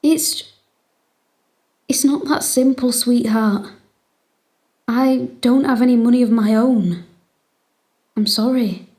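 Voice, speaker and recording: A high-voiced, anxious mother. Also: slow pace, long pauses, warm tone. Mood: anxious, defeated. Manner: hesitant, needy. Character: anxious, clinging, trapped mother pleading with her child. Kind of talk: audio drama acting.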